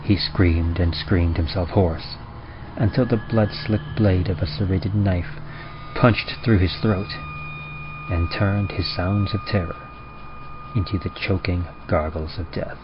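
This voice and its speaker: Deep, grave man reading radio horror. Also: fairly even intonation, noisy background. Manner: grave, deliberate, ominous delivery. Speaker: horror story narrator and presenter. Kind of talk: dramatic old-time radio horror reading. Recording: muffled, with prominent music behind. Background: music.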